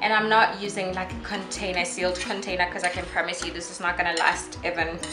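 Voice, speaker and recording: A woman's voice: fairly low pitch, little pitch movement, quick pace. A young woman from South Africa in her twenties, presenting a casual home cooking tutorial in a chatty, upbeat way. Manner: chatty, upbeat, laughs often, talks to her toddler. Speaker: cooking vlogger. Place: home kitchen, indoors. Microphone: camera on tripod at a distance. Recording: roomy kitchen sound, from a distance, music behind.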